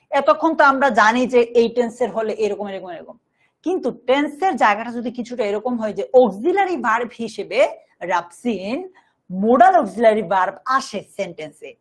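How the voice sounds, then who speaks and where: A Bangladeshi woman with a fairly high voice teaches a live online class. She explains rules step by step in an instructive way, puts questions to her students and slows right down to dictate examples. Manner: instructive, repeatedly checking understanding, slowly dictating example sentences. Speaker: online English grammar teacher.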